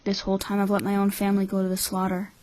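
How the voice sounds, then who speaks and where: A woman voicing a cynical, calculating twelve-year-old orphan boy in a measured, blunt anime-style fan dub. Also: mid-range voice, monotone, darkish tone, lightly acted. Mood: bitter, defiant.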